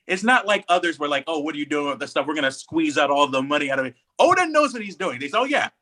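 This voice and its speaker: A man's voice: fairly high pitch, big pitch swings, quick pace, bright, forceful tone. A man co-hosting a podcast, talking casually and giving opinions with emphatic, repeated phrasing. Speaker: podcast co-host.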